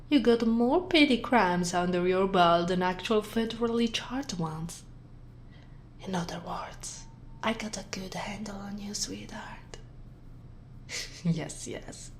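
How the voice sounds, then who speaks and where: A woman from Italy playing a smug, flirtatious sheriff in an ASMR roleplay, with sultry, teasing delivery that drops into breathy whispers. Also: medium pitch, darkish tone, slight background noise, natural voice. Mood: amused, condescending. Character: teasing, smug, flirtatious female sheriff gloating over captive. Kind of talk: ASMR roleplay, scripted acting.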